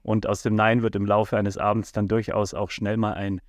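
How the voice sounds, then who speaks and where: Measured man with a lowish voice. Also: little pitch movement, no room sound, studio quality. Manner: measured and reflective, hedging with "ja" and "ne". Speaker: podcast co-host. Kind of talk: casual podcast conversation.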